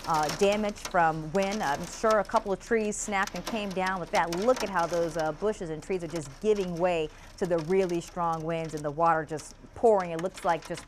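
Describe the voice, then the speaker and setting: A woman's voice: on the low side, fairly even intonation, normal speed, few pauses, a little hiss or hum. An American woman presenting live severe weather coverage on TV, ad-libbing as she goes.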